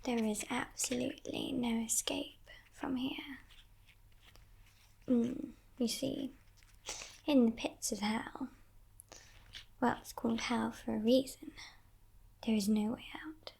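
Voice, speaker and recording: A woman playing a sly, haughty female demon kidnapper in a whispered ASMR roleplay, breathy, teasing and condescending, coaxing with soft menace. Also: fairly high pitch, fairly even intonation, exaggerated voice. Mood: quiet menace. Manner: slow, teasing, condescending, softly threatening.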